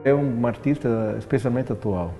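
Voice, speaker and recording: A man's voice: medium pitch, normal speed, loud music behind the voice. A man talking reflectively in an interview.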